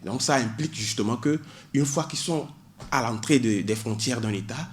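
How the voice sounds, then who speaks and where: A man's voice: medium pitch, moderate pace, slight background noise. A man, a doctoral student in public law, explaining asylum law on a radio show with many hesitations and cited articles. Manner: hesitant, many fillers, cites legal articles.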